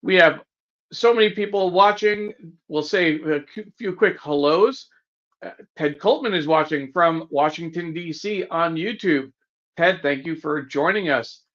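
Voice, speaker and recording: Bright-voiced man opening a live show, slightly dull sound. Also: on the high side, unhurried. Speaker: executive producer and co-host. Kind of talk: live online show opening, reading greetings to viewers.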